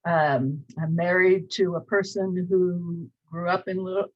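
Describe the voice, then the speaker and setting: A woman's voice: lowish voice, fairly even intonation, measured pace, highs cut off. A woman in her sixties from Cleveland with a Northern US accent, introducing her college course in a chatty, rambling way with many fillers. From Cleveland, Ohio. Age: 60s. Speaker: college instructor. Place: small home office. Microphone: webcam at desk.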